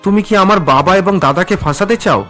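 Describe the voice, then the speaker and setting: Man acting an earnest, high-pitched young husband. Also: brisk, sharp tone, soft background music. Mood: shocked. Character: earnest, wounded young husband and loyal son. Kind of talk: cartoon drama dialogue.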